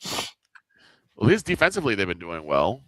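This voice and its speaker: A man's voice: deep voice, wildly swooping pitch, a little fast, a bit bright, no echo, poor audio. A man giving casual baseball analysis as the partner in the commentary booth.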